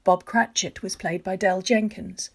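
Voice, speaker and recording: A woman reading a scripted cast announcement for a radio play in a husky, creaky voice. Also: medium pitch, steady tone, unhurried.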